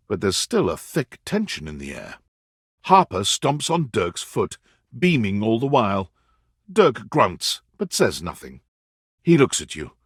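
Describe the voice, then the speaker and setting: Dramatic game narrator, bit raspy, really lively pitch, super dry close recording. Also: male voice, no echo. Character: male storybook-style narrator of a dating game. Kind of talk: scripted second-person story narration.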